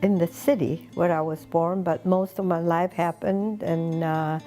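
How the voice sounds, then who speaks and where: Slow-speaking elderly woman. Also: fairly low pitch, steady tone, mellow, soft background music. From Lima, Peru. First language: Spanish. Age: 80s. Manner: unhurried, pausing between short phrases. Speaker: interviewee recounting personal history. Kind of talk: reminiscing oral history interview.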